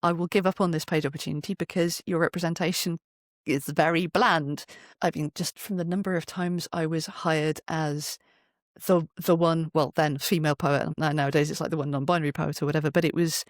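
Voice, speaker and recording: A British speaker with an expressive manner, talking as an interview guest and often acting out imagined quotes. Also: medium pitch, a little fast, dry recording, clean studio sound. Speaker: podcast guest, writer and poet. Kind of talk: animated interview conversation.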